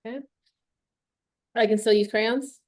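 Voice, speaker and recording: A woman's voice: on the high side, steady tone, unhurried. A woman taking part in a coaching demonstration on a video call, speaking hesitantly with many fillers. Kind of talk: casual explanation.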